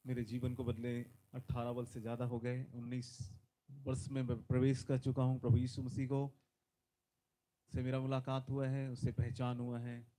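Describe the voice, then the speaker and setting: A man in his forties delivers a sermon from a large decorated stage, speaking into a handheld microphone. His voice sits in a middle pitch, and he preaches fervently, swelling into loud emphatic bursts and repeating hallelujah. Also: monotone, moderate pace, low-quality recording. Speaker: visiting preacher. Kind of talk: Hindi sermon with prayer.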